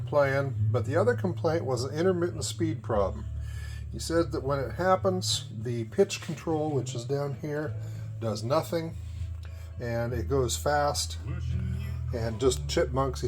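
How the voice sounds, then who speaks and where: A plain-spoken man presenting an informal hands-on repair demonstration as a technician, recorded on a cell phone at a workbench. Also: medium pitch. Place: indoors at a repair bench. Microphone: cell phone camera. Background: music playing from tape machine.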